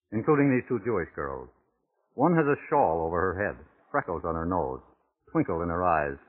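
Low husky vintage radio narrator, a man. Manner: measured, literary storytelling delivery. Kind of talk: 1940s radio documentary scripted narration. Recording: muffled phone-like vintage 1940s radio recording.

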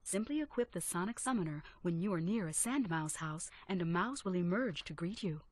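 A calm, measured in-game tutorial narrator. Her voice sits low and sounds dry and close. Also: no room sound. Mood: informative. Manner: measured and explanatory. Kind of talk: scripted narration.

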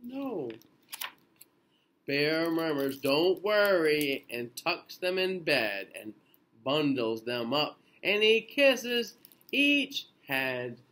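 A man in his thirties reading a children's picture book aloud in an expressive, sing-song way with drawn-out phrases. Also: on the high side. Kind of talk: children's storybook read-aloud. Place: small indoor room.

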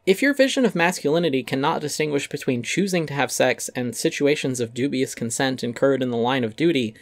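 A man's voice: medium pitch, expressive, a little fast, dry recording, clean studio sound. A man giving a dramatic reading of a critical essay, wry and articulate with theatrical emphasis. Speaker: essay narrator.

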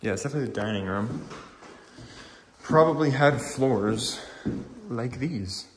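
Male explorer-vlogger, medium pitch, informal and impressed, slight cold, faintly noisy handheld sound indoors. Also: expressive, unhurried. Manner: informal, awed, mildly sweary. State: getting over a cold with a lingering cough. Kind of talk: casual walkthrough commentary. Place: inside empty rooms of a large abandoned house.

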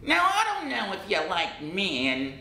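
Guy doing a high, bright, bouncy cousin character, talks slow, echoey room. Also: exaggerated voice. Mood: earnest. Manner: animated, gestures a lot, repeats and restarts. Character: male cousin, chatty, self-doubting English learner. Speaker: English teacher in character. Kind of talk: playful character monologue to camera. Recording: roomy echo, faint rumble.

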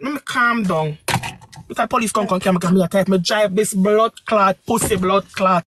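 A woman's voice: medium pitch, moderate pace. A woman with a Jamaican accent, exhausted and upset, swearing and repeating curses on a live stream. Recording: loud background noise.

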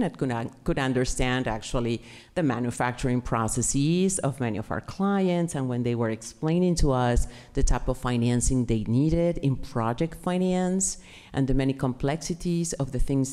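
A middle-aged woman from Mexico with a Mexican Spanish accent, a native Spanish speaker, speaking animatedly at a panel table mic in a conference room. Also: low voice. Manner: animated, gestures while talking, fillers. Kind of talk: panel talk about her career. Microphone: table mic on stand.

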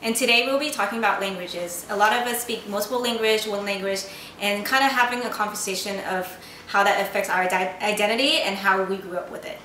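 A young woman hosts with a friendly, slightly scripted delivery and a few ums. Her voice is bright and mid-pitched. The room adds echo and a faint hiss. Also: fairly quick. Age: young adult. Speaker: vlog host. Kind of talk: casual hosting. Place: echoey living room indoors.

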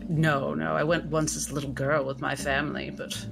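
A woman playing a courteous, wry female doctor who speaks politely and with gentle hesitation. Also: sits low, flat delivery, moderate pace, gravelly, over music.

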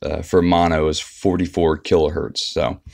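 A man's voice: low voice, little pitch movement, normal speed, vocal fry, a little mellow, dry recording, clean studio sound. A man giving a casual, unscripted walkthrough of an app as a tutorial presenter.

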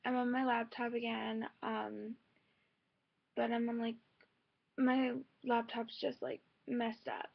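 Young female vlogger, slightly high voice, informal rambling chat, muffled lo-fi laptop recording. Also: little pitch movement, slow pace, creaky. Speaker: amateur singer and vlogger. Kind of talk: casual chat to viewers. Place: bedroom, indoors. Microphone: laptop's built-in mic at arm's length. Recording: dull lo-fi laptop microphone.

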